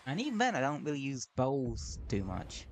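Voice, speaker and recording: A man's voice: mid-range voice, huge pitch swings, normal speed, background noise. A man streaming a video game, chatting casually and trailing off into fillers as he plays. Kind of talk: casual gameplay commentary.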